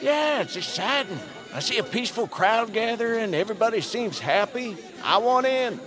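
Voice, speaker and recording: An actor playing a laid-back, clueless bystander in a comic audio drama, casual and easygoing. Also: male voice, sits high, lively intonation, normal speed, over music. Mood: casual, cheerful. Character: laid-back, clueless bystander who joins any crowd. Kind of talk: acted comic audio fiction.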